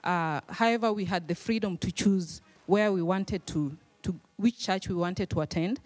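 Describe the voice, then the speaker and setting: A young woman with a Kenyan accent gives her testimony in church. She speaks modestly and hesitantly, pausing on soft fillers. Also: fairly low pitch. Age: young adult. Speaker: guest speaker. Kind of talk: personal testimony. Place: church hall.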